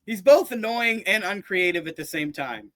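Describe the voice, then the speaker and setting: Guy with a high bright voice, rambly political chat, dry sound. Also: lively intonation, moderate pace, no room sound. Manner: rambling, restarts often, mock voices for jokes.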